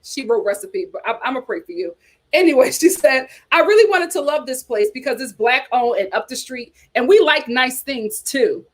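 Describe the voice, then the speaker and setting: A woman's voice: high-pitched, expressive, normal speed. An American woman hosting a casual chat show, reading aloud and commenting with playful, teasing asides. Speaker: host of a reality TV chat show.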